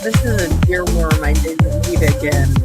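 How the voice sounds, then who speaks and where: A husky voice in casual livestream chat, talking as a guest. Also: mid-range voice, measured pace, over loud music.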